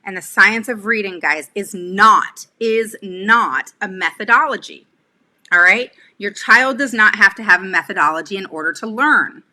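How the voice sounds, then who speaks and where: A woman's voice: medium pitch, moderate pace, bright, forceful tone. A woman in her forties giving a casual, chatty livestream lesson, speaking emphatically and often checking in with the listener. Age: 40s. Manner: emphatic, chatty, checks in with "all right?" and "okay?". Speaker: host, special education advocate giving advice. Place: small room at home, indoors.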